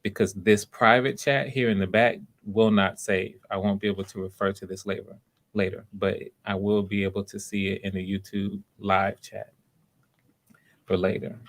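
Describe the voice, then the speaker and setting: A man's voice: fairly low pitch, level tone, normal speed. A man hosting live voice auditions, talking casually and encouragingly to the people taking part. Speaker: host running voice auditions. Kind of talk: casual livestream talk.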